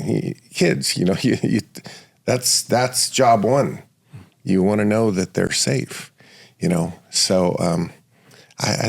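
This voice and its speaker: A man hosting a podcast interview, talking in a reflective, halting way with many restarts and fillers. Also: fairly low pitch, gravelly. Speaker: podcast host. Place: Seattle area studio.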